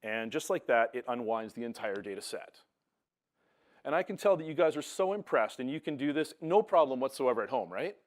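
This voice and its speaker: A man giving a lively, joking live software demonstration on a conference stage. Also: medium pitch, a little fast. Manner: upbeat, joking, talks while clicking through steps. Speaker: presenter. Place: large conference hall stage. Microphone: lapel mic, cutting in and out at first. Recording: roomy distant hall sound, noisy.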